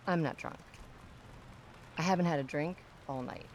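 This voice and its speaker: A woman's voice: low-pitched, moderate pace, a little mellow, a little hiss or hum. An actress playing a teasing, self-assured young woman, a protective friend, in an audio drama. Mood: probing, frank. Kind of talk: audio drama acting, conversational.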